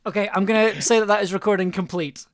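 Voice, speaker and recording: A woman's voice: medium pitch, fast, slight background noise. A woman hosting a live-streamed recording session in casual chat, also acting as its editor. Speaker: host and editor.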